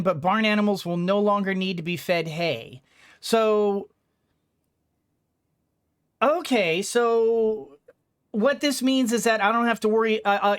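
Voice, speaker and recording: A man giving casual let's play commentary, rambling and thinking out loud as he goes. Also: sits high, smooth, no room sound, studio quality. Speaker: gaming video host.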